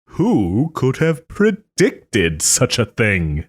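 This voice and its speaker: A man playing a haughty but tender wronged nobleman in a romantic audio roleplay, speaking theatrically with formal, old-fashioned phrasing and hesitant pauses. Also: medium pitch, huge pitch swings, breathy edge, dry recording, exaggerated voice. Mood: smug, mocking. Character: wronged young nobleman, haughty, wounded, still tenderly caring.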